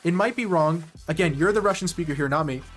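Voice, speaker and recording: Rambling man chatting with slightly high voice. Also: fast talker, faint music behind. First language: English. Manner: rambling, self-correcting, nerdy enthusiasm. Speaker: streamer. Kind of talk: casual live-stream chat with viewers.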